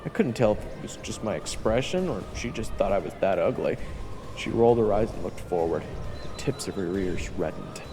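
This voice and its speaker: Guy as a cheeky nervous young hero narrating fantasy, lots of pitch swings, reverby, bit of music under. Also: expressive, normal speed. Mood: wry, flustered. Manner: casual, wry asides. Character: young orphan hero, cheeky, anxious, self-deprecating narrator. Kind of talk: first-person fantasy audio drama narration.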